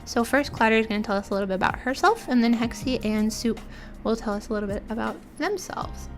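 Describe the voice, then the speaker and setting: A woman's voice: fairly high pitch, quick pace, soft background music. A young woman from the United States hosting a casual streamed interview, chatty and giggly with lots of fillers. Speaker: podcast host.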